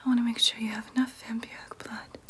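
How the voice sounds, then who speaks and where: A woman's voice: on the high side, little pitch movement, fast, bright, forceful tone, a little hiss or hum. Woman whispering as a soothing vampire nurse. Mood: soothing, coaxing. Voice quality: breathy whisper. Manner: soothing, clinical, hesitant asides. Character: gentle, businesslike female vampire nurse tending a patient. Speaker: ASMR roleplay performer. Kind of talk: whispered ASMR roleplay.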